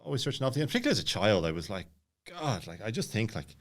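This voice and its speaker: Fast-talking man with a low voice. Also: very animated. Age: late 30s. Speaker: podcast guest. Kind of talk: casual personal storytelling.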